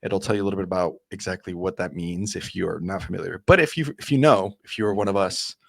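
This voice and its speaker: Fast-talking eager male podcast host. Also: deep voice, creaky, no echo, clean studio sound. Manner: eager, chatty, many restarts. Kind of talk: casual podcast interview.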